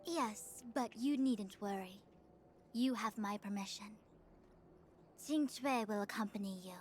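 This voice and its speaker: A woman voicing a calm, formal female seer who speaks in a measured, dryly exasperated way, in a game cutscene. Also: mid-range voice, faint music behind, lightly acted. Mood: composed.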